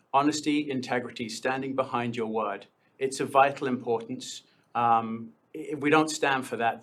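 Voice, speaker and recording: Man, town board candidate, earnest, frequent ums, mid pitch. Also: fairly bright tone. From born in India, grew up in London. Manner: earnest, many um fillers. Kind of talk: debate answers.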